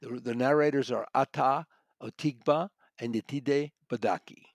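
A man's voice: mid-range voice, moderate pace, no room sound, studio quality. A man speaking as an audiobook reviewer in a conversational, thoughtful and emphatic way, often correcting himself as he goes. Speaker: audiobook reviewer and magazine contributor.

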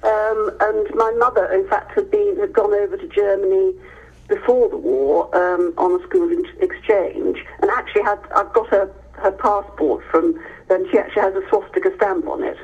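A woman's voice: medium pitch, normal speed, slightly rough, narrow, tinny audio. An English woman, middle-aged or older, answering as a guest author in conversation, telling stories with emphatic repetition. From Hereford, England, near the Welsh border.

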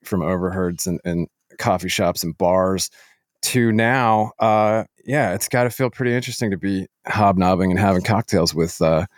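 Low-voiced man hosting a podcast. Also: normal speed, no room sound, studio quality. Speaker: podcast host. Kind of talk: casual interview hosting.

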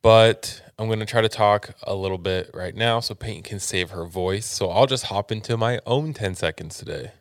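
Young guy with a really low voice, laid back podcast cohost, dry mic. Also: moderate pace, few pauses, no echo. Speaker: podcast co-host. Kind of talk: casual chat.

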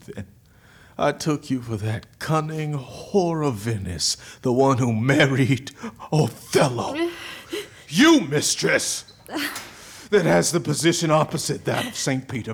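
A man's voice: medium pitch, fairly bright tone, slightly rough, heavily acted. A man playing an enraged, jealous husband in a Shakespearean radio drama, bitterly mocking and volatile. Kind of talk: modern-verse Shakespeare radio drama acting.

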